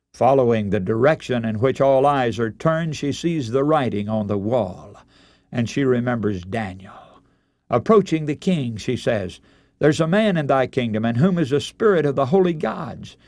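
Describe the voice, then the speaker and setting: A man preaching a radio sermon, telling a Bible story in solemn, deliberate oratory with dramatic emphasis. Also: mid-range voice, darkish tone, no room sound.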